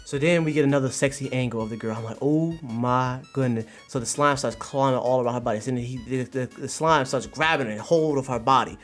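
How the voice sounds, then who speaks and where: A man's voice: medium pitch, fast talker, hardly any pauses, fairly bright tone. A man hosting a livestream, giving slangy, exclamatory commentary to his chat and repeating phrases in bursts. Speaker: livestream host reviewing manga. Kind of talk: animated commentary to a live chat. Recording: music behind the voice. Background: music.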